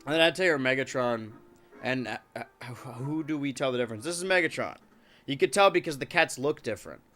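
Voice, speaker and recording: A man's voice: mid-range voice, animated, brisk, fairly bright tone, soft background music. A man live-streaming from home with a stuffed-up nose, chatting and joking with his viewers and his cats. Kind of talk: casual live-stream chat.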